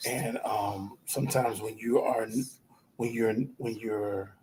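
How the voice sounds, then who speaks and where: A man speaking casually and haltingly, with many fillers, giving advice on marriage. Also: lowish voice, steady tone, darkish tone, slight background noise, low-quality recording. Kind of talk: casual chat.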